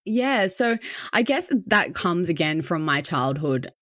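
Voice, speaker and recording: Aussie woman guest on a podcast, really low voice, reflective, kinda rambly, dry close sound. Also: big pitch swings, unhurried, fairly bright tone, no room sound. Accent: Australian. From Australia, south of Sydney. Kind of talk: casual interview chat.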